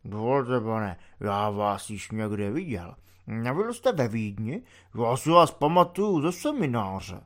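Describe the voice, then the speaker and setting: A man's voice: mid-range voice, animated, close to an everyday voice. A man voicing a slurring drunk army chaplain. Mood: muddled rambling. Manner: slurred, whining, rambling drunk speech. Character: drunk, maudlin middle-aged male army chaplain. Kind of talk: audiobook character voice.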